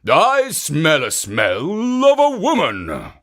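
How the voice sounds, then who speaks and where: A hulking, wicked ogre from a storybook, acted out by a man. He calls out loudly and slowly, stretching his words with insistent suspicion. His pitch swings about theatrically. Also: wildly swooping pitch, full character voice. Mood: suspicious.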